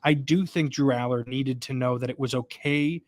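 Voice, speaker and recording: A man co-hosts a sports podcast and talks through his analysis, restarting sentences and checking for agreement. His voice sits at a middle pitch and sounds close and dry, with little room sound. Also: fairly even intonation, normal speed, no echo. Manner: thinks aloud, repeats himself, seeks agreement with "right?". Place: stadium press box.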